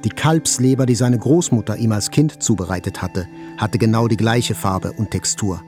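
An actor playing an attack survivor, telling events in a sober, precise, scripted literary narration. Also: male voice, lowish voice, normal speed, a little mellow, soft background music, close to an everyday voice. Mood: sober, detached.

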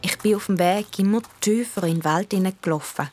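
A woman's voice: medium pitch, brisk, darkish tone, faint music behind, natural voice. A female performer playing a thoughtful young girl who narrates her own story in a radio play, with a Swiss German accent. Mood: reflective.

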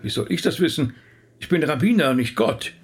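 An actor playing a polite, nervous rabbi in a radio drama, hesitant and mildly indignant in manner. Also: male voice, a little high, expressive, normal speed, breathy edge.

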